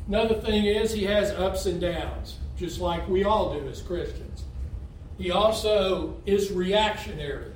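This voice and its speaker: A man from Texas preaching in a church sanctuary with a folksy, conversational manner, his voice a bit higher than most men's. The sound bounces around a very reverberant hall, picked up from well away from the mic, with a faint hum of the room behind him. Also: recorded from a distance. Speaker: visiting lay preacher. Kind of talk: sermon. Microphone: standing away from the mic.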